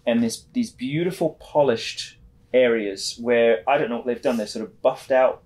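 A man's voice: medium pitch, normal speed. Chatty man hosting a shop show. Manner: chatty, lively, many restarts. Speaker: shopping show host. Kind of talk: casual product chat. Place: studio set with bookshelves.

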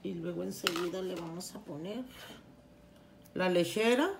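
A middle-aged woman explaining a recipe step by step in her home kitchen, unhurried and plain, with frequent restarts. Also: fairly low pitch, vocal fry, background noise. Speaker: home cooking channel host. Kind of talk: casual cooking tutorial.

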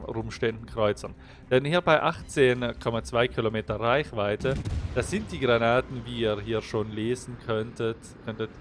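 A young man with a slight cold, explaining a naval game replay as he commentates over it, getting livelier when the action starts. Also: medium pitch. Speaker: gameplay commentator.

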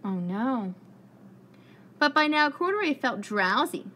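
A woman preschool teacher reading a picture book aloud to young children with expressive storytelling. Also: high voice. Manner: expressive storytelling, pausing to ask the children questions. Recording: clearly noisy room recording.

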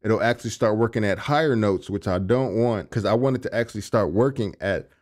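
A young man with a low voice, casually walking through his vocal recording setup in his home studio, speaking into a studio condenser mic. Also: brisk. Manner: relaxed, conversational, many fillers like "you know what I'm saying". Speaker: music producer and engineer. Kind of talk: casual tutorial walkthrough. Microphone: large studio condenser on a stand.

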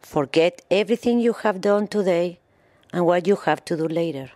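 A woman yoga instructor narrating a guided meditation in measured, instructional phrases with pauses. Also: fairly low pitch, mellow, no echo.

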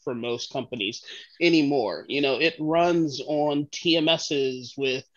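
A man's voice: medium pitch, measured pace. A man in his thirties talking casually on a video call. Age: 30s. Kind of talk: casual chat. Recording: dull video-call audio.

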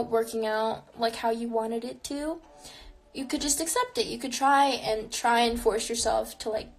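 A woman's voice: a little high, gravelly. A woman in her twenties chatting casually on a live stream in a small bedroom, answering viewer questions and filling her talk with "like.". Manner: chatty, frequent "like" fillers, reflective. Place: small bedroom with neon lights, indoors. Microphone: phone camera close to face.

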